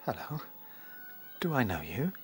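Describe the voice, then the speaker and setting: A man playing an aged, kindly, wise rabbit chief in a radio drama, speaking in a fatherly, measured way. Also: mid-range voice, big pitch swings, soft background music. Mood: wondering, accepting.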